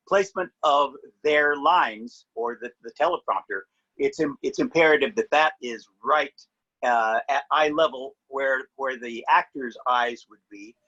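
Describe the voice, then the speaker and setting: A man explaining his work informally on a video call, halting and often repeating words as he searches for them. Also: mid-range voice, pushed and bright. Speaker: panelist, filmmaker and editor of online play. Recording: dull, muffled video-call audio.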